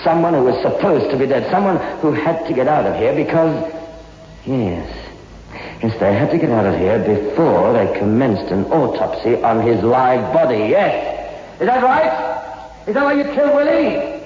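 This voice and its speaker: A man's voice: mid-range voice, very animated, normal speed, darkish tone, natural voice. An actor playing a frightened, frantic man hunting a killer in a morgue, stammering and agitated, in a 1960s radio horror style. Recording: dull, noisy old radio recording, echoey hall.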